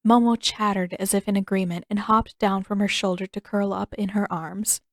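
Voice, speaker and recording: A woman narrates fiction from a script. Her voice has an average female pitch. The recording is dry, with hardly any room sound. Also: fairly even intonation, moderate pace, no room sound. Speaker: audiobook narrator.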